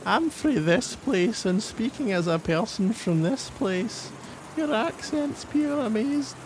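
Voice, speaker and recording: A man's voice: high-pitched, expressive, takes it slowly, noisy background, pitched above the performer's own voice. A man voicing a starstruck, guffawing fan with an exaggerated, gushing manner.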